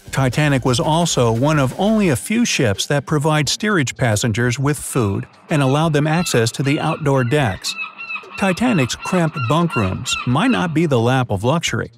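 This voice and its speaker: A man reading a scripted explainer narration, dropping in playful jokes now and then. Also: mid-range voice. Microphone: studio voice-over. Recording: faint background music.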